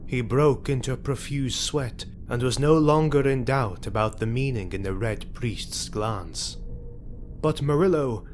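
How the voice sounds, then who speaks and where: A man narrating a scripted fantasy tale in a solemn, deliberate, literary manner. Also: medium pitch, slight background noise. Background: background music.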